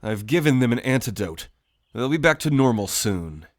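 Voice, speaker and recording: A man acts out a young prince of firm principle in a fantasy roleplay. His voice sits at a middle pitch. He speaks with earnest loyalty and courtly politeness. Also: very animated, moderate pace, close to an everyday voice. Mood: firm, courteous. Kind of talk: fantasy audio roleplay acting.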